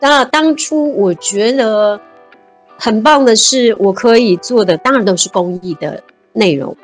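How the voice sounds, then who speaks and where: A woman's voice: a little high, measured pace, soft background music. A woman, a guest chatting casually and animatedly in an interview. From Taiwan. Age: 50s. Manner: animated, laughs easily, many fillers. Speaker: guest, civil servant.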